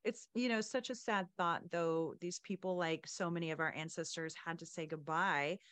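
Female podcast host, casual and conversational, slightly low voice in a dry recording. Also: normal speed, a bit bright, smooth, no room sound. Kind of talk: casual chat with story reading.

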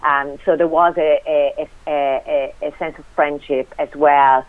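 A woman's voice: deep voice, fairly even intonation, measured pace, dry recording. A woman from Italy with an Italian accent, a guest on a radio interview, speaking hesitantly with many fillers and restarts. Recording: tinny phone line.

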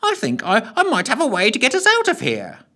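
A man voicing a genteel, fussy older gentleman who stammers and hesitates. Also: very high-pitched, wildly swooping pitch, brisk, voice pushed up, full character voice. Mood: eager.

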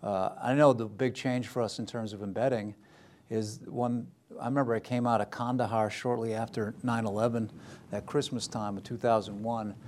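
A man speaking as a war journalist on a panel, reflecting in a halting way and repeating phrases as he thinks. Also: lowish voice, darkish tone, slight background noise. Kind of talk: reflective remarks in a panel discussion.

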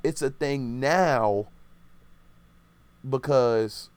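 A man's voice: medium pitch, extremely slow, darkish tone, clean tone, no echo. A man in his thirties in casual podcast chat, rambling and opinionated, often correcting himself mid-sentence.

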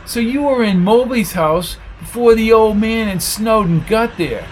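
Male narrator as bullying hothead, slangy, snapping, higher male pitch, audiobook character, noisy background. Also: a little slow. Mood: aggressive. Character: brash, hot-tempered, bullying tough guy.

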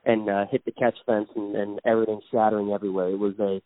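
A man's voice: fairly low pitch, little pitch movement, quick pace, no room sound. Phone guest, a man who is chatty and hesitant, tinny dry line. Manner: chatty, hesitant, with many fillers. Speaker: guest, racing fan. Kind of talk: casual phone interview.

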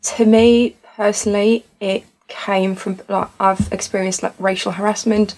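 Young woman, flat gravelly voice. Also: mid-range voice, poor audio. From Tunisia. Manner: earnest, measured, reflective. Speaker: student society founder. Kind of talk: podcast conversation.

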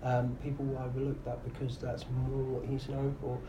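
A man's voice: medium pitch, completely flat delivery, a little fast. A man who is a comedian and radio host, answering interview questions in casual, thoughtful talk with many fillers. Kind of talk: podcast interview. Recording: live room with faint noise.

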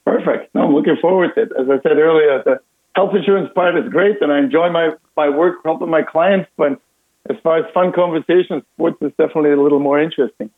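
A man's voice: on the high side, fast. A man in his thirties from Austria with an Austrian German accent, a radio guest talking earnestly with a lot of fillers. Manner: earnest, relaxed, many fillers. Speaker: insurance broker, radio guest. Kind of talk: phone-in radio interview. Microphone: phone. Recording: tinny phone line.

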